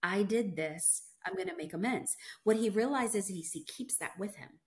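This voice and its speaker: A woman's voice: medium pitch, lively intonation, normal speed, darkish tone. A middle-aged woman whose first language is not English, talking animatedly as an interview guest, emphatic and reflective. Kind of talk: conversational interview.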